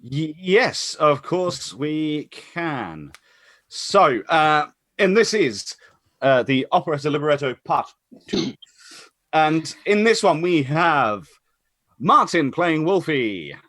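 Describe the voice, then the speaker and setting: A man's voice: a little high, very animated, takes it slowly, a bit bright, no echo. A man informally introducing a cast at an online event, in a casual, slightly hesitant way.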